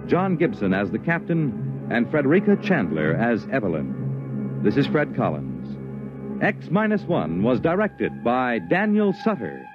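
Lively 1950s male radio announcer. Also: medium pitch, a little slow. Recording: muffled old radio recording, music behind.

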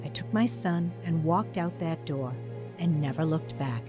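A woman's voice: deep voice, measured pace, over music. An actress narrating and playing a troubled young mother in a radio drama, close to tears and emotional. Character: troubled young mother leaving her husband. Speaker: narrator and lead character.

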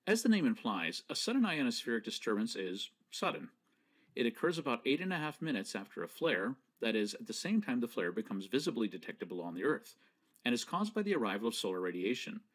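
A man reads a prepared lecture in a steady, explanatory way. His pitch moves about freely, which keeps the delivery animated as he walks through each point. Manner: measured, explanatory and precise. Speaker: presenter. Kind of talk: scripted slideshow lecture.

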